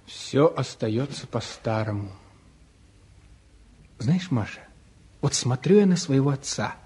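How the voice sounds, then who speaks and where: A man's voice: mid-range voice, lively intonation, slow pace, darkish tone, breathy edge. An actor playing an earnest, self-doubting man in his twenties in a 1950s Russian stage drama, speaking reflectively and repeating words for weight. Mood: brooding, reflective. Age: 20s.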